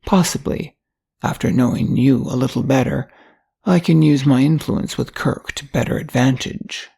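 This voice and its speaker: A suave gentleman of means , as read by a woman narrator, speaking with formal courtesy and smooth flattery. The voice is husky with a gravelly creak, in the style of a storybook reading. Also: a bass voice, moderate pace, heavily acted. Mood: courteous, flattering.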